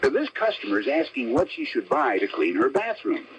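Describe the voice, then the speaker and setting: An actor playing a chipper, boastful supermarket manager in a 1970s radio commercial, folksy and exuberant. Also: male voice, mid-range voice, wildly swooping pitch, fairly quick, highs cut off. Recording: slightly noisy 1970s radio broadcast.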